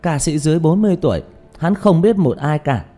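Guy doing a hyper starstruck young sidekick for an audiobook, way high and loud, gushing. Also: fairly quick, soft background music. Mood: fawning, eager. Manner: exclaiming, gushing, stammering with excitement. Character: excitable star-struck young male sidekick, gushing fan. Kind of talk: audiobook character voice.

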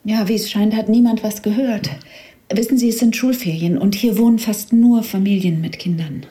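An actress playing a polite, grieving widow in a naturalistic radio drama, speaking softly and hesitantly with some breathiness. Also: female voice, mid-range voice, fairly even intonation, moderate pace, close to an everyday voice. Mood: subdued, hesitant.

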